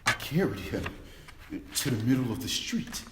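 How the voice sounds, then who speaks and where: A man's voice: mid-range voice, sing-song, normal speed, close to an everyday voice. A man playing a frightened preacher who witnessed a killing, telling it urgently in a staged dramatic reading. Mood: distressed. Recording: echoey hall, faint background noise.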